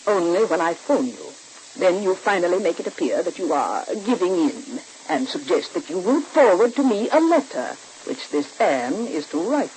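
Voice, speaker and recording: An actress playing a cold, bossy woman villain who gives deliberate, emphatic orders in the style of 1940s radio soap acting. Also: fairly low pitch, sing-song, a little mellow, low-quality recording. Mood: scheming, commanding. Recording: hissy old radio recording.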